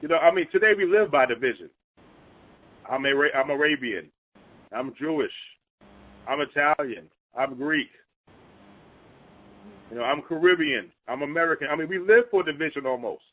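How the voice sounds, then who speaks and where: A man's voice: on the high side, moderate pace, long pauses, husky, muffled recording. A man explaining a Bible passage in an earnest, conversational teaching style, with rising energy when he drives a point home.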